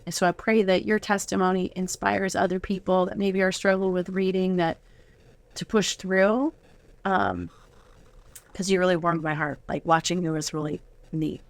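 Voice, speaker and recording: Lower-voiced woman hosting a casual interview. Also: steady tone, moderate pace. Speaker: show host and mother of the guests. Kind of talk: casual, heartfelt studio interview. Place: studio.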